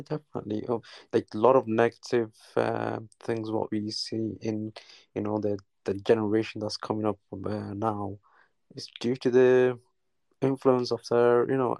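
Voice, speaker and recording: A man from Newcastle with a low, gravelly voice that stays flat in pitch. He chats casually as a guest caller, halting often and restarting his sentences. The sound is dry and close. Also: dry recording. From England (Newcastle). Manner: halting, many restarts and fillers. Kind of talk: casual chat.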